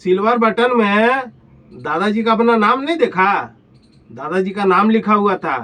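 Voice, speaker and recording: High-pitched man ranting, eastern Indian accent. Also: expressive, measured pace, sharp tone, distant mic. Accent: eastern Indian Hindi. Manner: taunting, insulting, uses rhetorical questions. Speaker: vlogger. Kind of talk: confrontational call-out rant.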